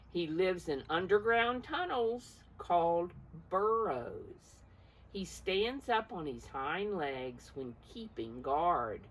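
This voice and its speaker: A woman teacher reading a picture book aloud to preschoolers, encouraging them with simple explanations and questions, emphasising key words, in a slightly noisy recording. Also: on the low side. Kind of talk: interactive picture-book read-aloud for young children.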